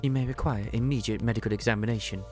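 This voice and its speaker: A man voicing a composed, formal woman adviser with clinical, measured wording in a game. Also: mid-range voice, steady tone, over music, natural voice.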